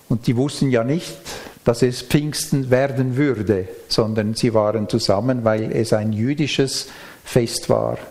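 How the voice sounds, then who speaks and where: A man preaching a sermon, reading and explaining scripture in a measured, explanatory way. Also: medium pitch, a little mellow.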